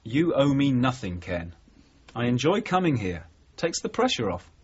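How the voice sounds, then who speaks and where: An actor playing a measured, questioning male police detective sergeant in a 1980s radio drama. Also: mid-range voice, sing-song, a little mellow, husky. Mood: quietly probing. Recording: dull, muffled radio broadcast recording.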